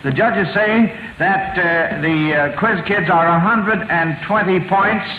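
High-voiced old-time radio quizmaster, man. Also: takes it slowly. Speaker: quiz show host. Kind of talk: unscripted quiz show hosting, old-time radio. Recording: dull, noisy, roomy old radio recording.

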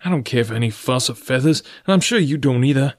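A man voicing an earnest, formal, pressing gentleman suitor of about forty in an audiobook story. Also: medium pitch, expressive, no echo, studio quality, voice pushed up, natural voice. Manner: deliberate, formal, blunt.

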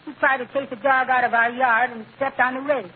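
An actor playing a meek, mournful, henpecked little husband with timid politeness in a radio comedy. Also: male voice, extremely high pitch, little pitch movement, a little fast, narrow, tinny audio. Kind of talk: 1940s radio comedy acting. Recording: thin, hissy old radio broadcast.

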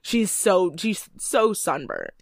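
A woman's voice: on the low side, huge pitch swings, takes it slowly, gravelly, crowd noise. A woman co-hosting a podcast, casually recapping a reality show and filling her talk with "like" and laughter. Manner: chatty, lots of "like" and laughs. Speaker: podcast co-host.

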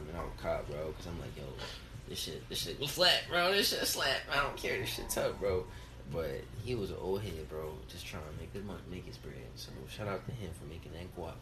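A man's voice: deep voice, brisk. A young man with a Philadelphia accent, hosting a casual podcast chat in laid-back slang. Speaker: podcast host. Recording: echoey room with background noise.

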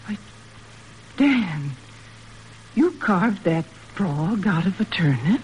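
Worried mother, animated, old radio. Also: on the low side, drawn-out words. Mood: wondering. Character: worried gentle farm mother. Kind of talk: 1940s radio drama acting. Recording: noisy old radio broadcast recording.

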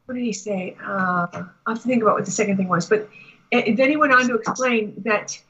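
An American woman talking conversationally as a guest spiritual teacher, explaining and telling anecdotes in a measured, deliberate way. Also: mid-range voice, sharp tone, breathy edge, dull audio. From United States. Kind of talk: conversational interview talk with anecdotes.

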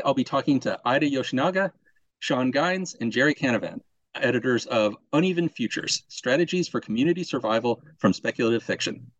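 A man hosting an interview podcast, opening with a brisk scripted introduction. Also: mid-range voice, no echo, muffled recording. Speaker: podcast host.

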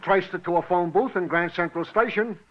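A man playing an eager, high-voiced police sergeant. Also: fairly quick, lightly acted. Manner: eager, repetitive, breezy. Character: cheerful, slow-witted police sergeant. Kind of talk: 1940s radio acting. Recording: dull old radio recording.

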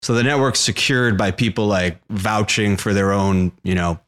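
Young male podcast co-host, lowish voice, laid-back explainer tone. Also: normal speed, a bit bright. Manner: explanatory, frequent "like" and "right?" check-ins. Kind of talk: casual podcast chat.

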